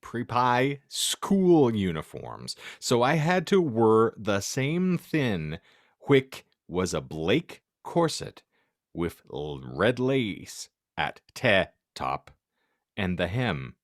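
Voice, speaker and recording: A male podcast host reads fan fiction aloud with theatrical comic flair. His pitch swings widely, the pace is very slow and halting, and the sound is dry and close. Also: big pitch swings, dry recording.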